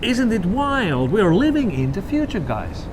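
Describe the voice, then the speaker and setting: Guest, finnish man with an accent, slightly high, animated, loud traffic behind. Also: moderate pace. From Finland. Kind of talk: conversational interview.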